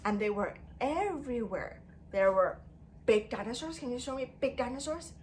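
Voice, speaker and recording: A woman's voice: fairly high pitch, lively intonation, moderate pace, darkish tone, slight background noise. A woman leading an interactive storytime lesson for young children, speaking in an exaggerated sing-song way with prompting questions and repetition.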